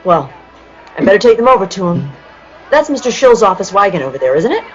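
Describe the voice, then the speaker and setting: A woman's voice: medium pitch, big pitch swings, fairly quick, a faint crowd, close to an everyday voice. A woman playing a trusting, easily startled young sweetheart heroine in an old-time radio play. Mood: trusting, flustered. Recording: muffled, lo-fi audio with noise.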